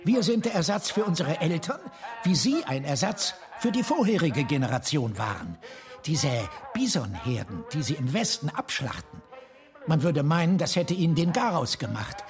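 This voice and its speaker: An eccentric, opinionated man expounding grand theories in a radio drama. His voice is on the high side and very animated, argumentative and articulate. Music plays behind him. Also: moderate pace. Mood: lecturing, insistent. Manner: lecturing, insistent, argumentative, articulate. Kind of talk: German radio drama acting.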